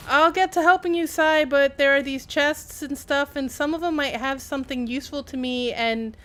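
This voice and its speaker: A woman's voice: sits high, moderate pace, a bit bright. A woman giving casual live game commentary, rambling and playfully self-deprecating as she chats to viewers and game characters. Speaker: game livestreamer.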